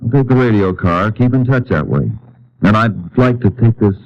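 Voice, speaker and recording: A man's voice: fairly low pitch, lively intonation, normal speed. An American man playing a laconic, determined Texas Ranger in a 1950s radio drama, dry, clipped and folksy. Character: laconic, determined Texas Ranger investigator, narrating his case. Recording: muffled old-time radio recording.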